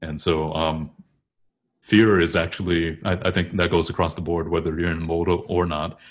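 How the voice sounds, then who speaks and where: A man with a low voice talks as a guest in an interview, explaining technique informally. He is thoughtful and often stops to rephrase himself as he works through the details. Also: little pitch movement, moderate pace. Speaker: guest singer and choral bass.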